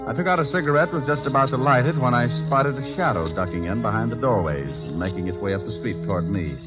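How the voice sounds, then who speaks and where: A man's voice: mid-range voice, quick pace, natural voice, poor audio. An American man playing a wry, world-weary, wisecracking private detective in a 1940s-style radio drama. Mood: tense narrating. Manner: wry, hard-boiled. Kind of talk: 1940s radio detective drama acting with first-person narration. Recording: old radio recording with organ music.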